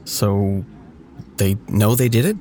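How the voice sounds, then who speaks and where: A man's voice: fairly low pitch, takes it slowly, dark tone, slight background noise. An actor playing a curious local man in casual, blunt, naturalistic audio drama dialogue. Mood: curious, concerned.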